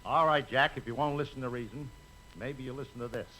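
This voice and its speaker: An actor playing a blunt, exasperated movie studio boss in a 1950s radio comedy. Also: male voice, mid-range voice, a little fast, bright, forceful tone, close to an everyday voice. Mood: exasperated, dry. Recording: noisy 1950s radio recording.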